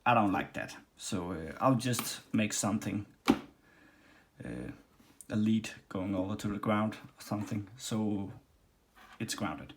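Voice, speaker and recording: Halting Danish-accented man in a workshop. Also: lowish voice. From Denmark. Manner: halting, many ums and restarts. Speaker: hobbyist reviewing a leather skiving machine. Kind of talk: casual workshop demo. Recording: faint background noise and clicks.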